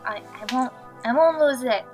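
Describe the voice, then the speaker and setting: A woman's voice: sits high, normal speed, soft background music, lightly acted. A woman voicing a very small, unsure seven-year-old girl, childlike and hesitant, in a tabletop role-play.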